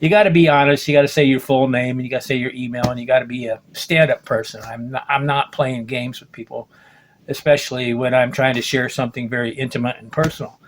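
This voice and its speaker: A man's voice: medium pitch, steady tone, fast talker, slight background noise. A man in his late sixties from the United States, talking through a rambling personal video monologue, often restarting sentences and checking in with "okay?". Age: 60s. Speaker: video blogger.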